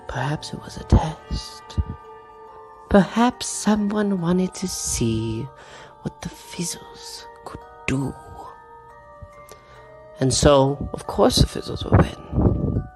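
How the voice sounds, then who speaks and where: Sinister female narrator played by a woman, very slow, menacing, theatrical, chiming music underneath. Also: sits low, full character voice. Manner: drawn-out, theatrical, dropping to whispers. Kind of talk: scripted story narration over music. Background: music with chimes.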